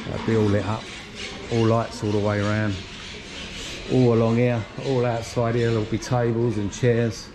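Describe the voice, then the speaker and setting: A man giving a relaxed, chatty walking tour of an outdoor shopping plaza, talking while he walks with his camera. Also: medium pitch, moderate pace. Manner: relaxed, chatty, pointing things out. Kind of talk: casual walking tour narration. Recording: noisy outdoor street recording.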